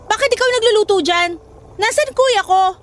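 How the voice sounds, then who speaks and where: A woman's voice: very high voice, normal speed. A woman playing an angry, protective younger sister in a Filipino radio drama, speaking in an accusing, emphatic way with a Filipino accent. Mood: curt, annoyed. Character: angry, protective younger sister confronting brother's returning girlfriend.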